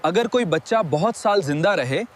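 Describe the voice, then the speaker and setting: A performer playing a patient, earnest religious teacher who explains a scripted children's cartoon lesson in a measured, didactic way. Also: mid-range voice, expressive, natural voice. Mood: earnest, instructive.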